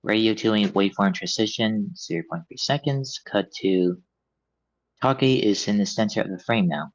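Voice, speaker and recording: Male stage-direction reader, lowish voice, table-read narration, dry and slightly muffled recording. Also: normal speed, no room sound. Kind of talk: reading script directions aloud at a table read.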